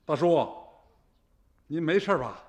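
Formal police captain, fairly high voice. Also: male voice, lively intonation, darkish tone, muffled recording. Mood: firm. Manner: measured, formal, insistent. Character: composed, official police investigation captain. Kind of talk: radio drama dialogue.

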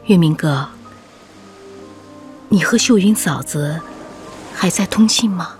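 An actress playing an anxious widowed young mother in a radio drama, pleading in a plaintive, halting, earnest way. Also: lowish voice. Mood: hesitant, tender. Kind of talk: emotional radio drama acting. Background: music.

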